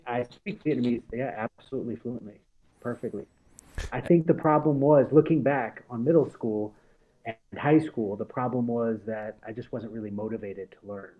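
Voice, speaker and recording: Guest: man in his forties from the States, mid-pitched, loose anecdotal delivery full of fillers and laughs. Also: moderate pace, narrow, tinny audio. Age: 40s. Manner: laughing storyteller with many fillers and restarts. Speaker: podcast guest. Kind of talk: casual conversation.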